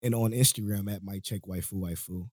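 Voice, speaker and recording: Low-voiced laid-back male podcast host. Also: fairly even intonation, brisk, darkish tone, breathy edge, dry recording, clean studio sound. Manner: laid-back, rambling, laughs often. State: voice going, catching a cold. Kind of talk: casual podcast chat.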